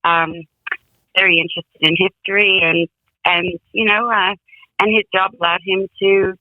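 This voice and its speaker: A woman's voice: medium pitch, flat delivery, normal speed, dry recording. Woman from England, guest on a call, phone-thin sound, rambling storyteller. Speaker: guest songwriter. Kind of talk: casual interview. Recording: tinny phone line.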